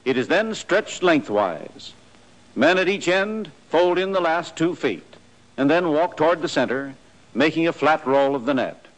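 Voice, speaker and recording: A man giving scripted, measured instructional narration for a 1940s army training film. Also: a little high, lively intonation, rough recording.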